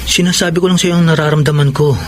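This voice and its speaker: A man's voice: fairly high pitch, fairly even intonation, fast, slight background noise, lightly acted. An actor playing a tender, joking young Filipino man hiding a grave illness, in an earnest radio drama style.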